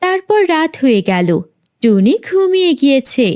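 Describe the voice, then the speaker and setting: High-pitched, lively woman storyteller. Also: normal speed. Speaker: narrator. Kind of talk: scripted children's storytelling.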